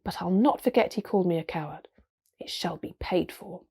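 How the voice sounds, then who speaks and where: A woman's voice: on the low side, fairly quick, mellow, slightly rough. Woman voicing a formal, scheming male lord. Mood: vengeful.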